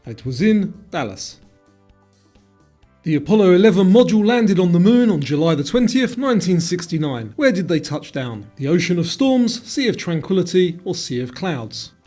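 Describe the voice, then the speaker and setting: A man's voice: high voice, big pitch swings, moderate pace, warm tone. A man presenting a quiz as scripted narration, reading out questions and answers. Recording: music bed under the voice. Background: music.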